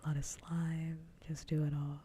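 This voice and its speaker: Hushed woman whispering, dark and low-key. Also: flat delivery, moderate pace, a little hiss or hum. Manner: soft-voiced, mostly whispering. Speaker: livestream ASMR host. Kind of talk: whispered ASMR, casual chat.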